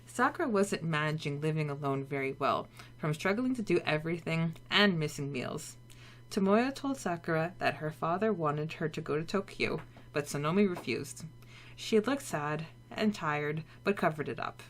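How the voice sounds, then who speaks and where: A woman's voice: deep voice, expressive, normal speed. A woman co-hosting a podcast, moving between casual chat and a scripted story recap. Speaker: podcast co-host.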